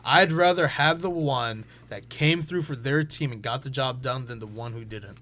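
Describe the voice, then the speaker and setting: A man's voice: medium pitch, moderate pace, sharp tone. A young man co-hosting a sports podcast, arguing his picks in assertive bursts. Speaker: podcast co-host. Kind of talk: casual sports debate.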